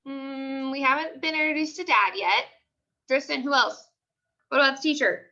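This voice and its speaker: A woman leads an interactive classroom read-aloud lesson. Her voice is high and bright, and she prompts her pupils by restating questions and answers. The recording is dull and lo-fi. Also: normal speed, soft background music.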